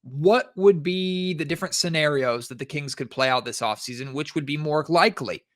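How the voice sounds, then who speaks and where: A man's voice: a little high, normal speed, a bit bright. A man hosting a sports podcast solo, giving commentary in a conversational way and speaking directly to his listeners.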